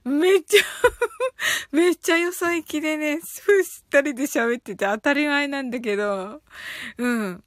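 High-voiced woman chatting casually on a livestream. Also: unhurried, dry recording, clean studio sound. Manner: giggly, chatty, often ends phrases with soft filler particles. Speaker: live audio stream host. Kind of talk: casual livestream chat, reading out and replying to listener comments.

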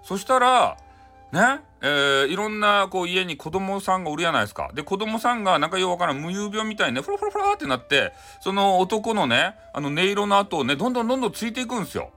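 A man's voice: sits high, very animated, quick pace, bright, forceful tone, soft background music. A man with a Hakata accent from Fukuoka retelling a folk tale casually for bedtime, chatty and folksy with frequent asides. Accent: Hakata (Fukuoka) Japanese. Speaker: storyteller, host of audio show.

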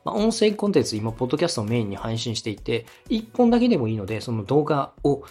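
Quick-talking man with lively pitch swings. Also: slightly rough. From Osaka, Japan. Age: 30s. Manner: polite, encouraging. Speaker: podcast host and radio director. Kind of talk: conversational advice to a co-host.

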